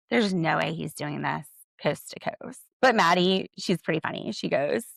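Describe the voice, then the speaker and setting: A woman's voice: mid-range voice, vocal fry, fairly bright tone, no room sound, studio quality. A woman hosting a podcast, giving casual, opinionated solo recap commentary with a sardonic edge. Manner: opinionated, sardonic, quotes others conversationally. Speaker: podcast host.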